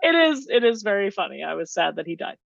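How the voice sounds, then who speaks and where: A woman's voice: medium pitch, big pitch swings, fast talker, creaky, dry recording, highs cut off. A woman with an American accent talking casually about films as a podcast co-host. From New Jersey, United States. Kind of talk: casual film discussion.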